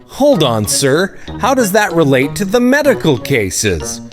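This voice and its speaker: An actor playing an inquisitive, talkative FBI agent in an animated noir satire, questioning in an expressive way. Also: male voice, fairly high pitch, a little slow, music in the background. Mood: probing. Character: inquisitive, talkative male FBI agent, polite but skeptical.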